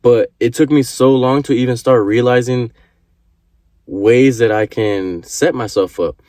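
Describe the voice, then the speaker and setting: A man's voice: medium pitch, measured pace, dark tone, no echo, clean studio sound. A man in his early twenties giving an earnest motivational talk to camera, repeating his points and swearing casually. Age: 20s. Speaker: vlogger.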